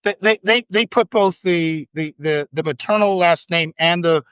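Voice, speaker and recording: A man born in Trinidad with an American accent, a podcast guest chatting casually in a halting, wry way full of restarts. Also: on the high side, a bit bright, smooth, no room sound. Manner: halting, many restarts and fillers, wry humor. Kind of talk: casual chat.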